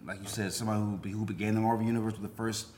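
Low, quick-talking man on a podcast. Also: little pitch movement, darkish tone, slight background noise. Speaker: podcast co-host. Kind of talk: casual film discussion.

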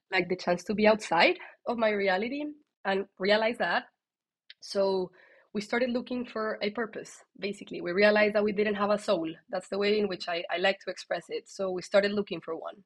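A woman with a strong Colombian Spanish accent, a podcast guest telling her story in a lively, candid way. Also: medium pitch, low-quality recording. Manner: lively and candid, with frequent fillers and restarts. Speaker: guest, tech executive.

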